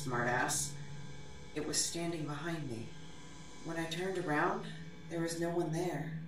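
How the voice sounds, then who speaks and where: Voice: medium pitch, lightly acted. An actor playing a dry, rambling amnesiac podcaster confiding in a recorder in an echoey bathroom, as an audio drama. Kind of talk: conversational audio diary in a drama. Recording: very echoey bathroom, distant, noisy.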